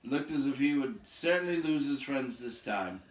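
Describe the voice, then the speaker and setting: An echoey room surrounds a man narrating a storybook aloud. His voice is mid-pitched, and he phrases the prose expressively, pausing between clauses. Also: expressive. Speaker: audiobook-style reader.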